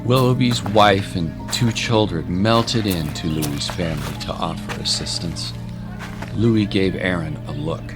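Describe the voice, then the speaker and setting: A man reads a scripted story as its narrator. His voice is very deep, and there is clear music underneath the narration. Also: unhurried.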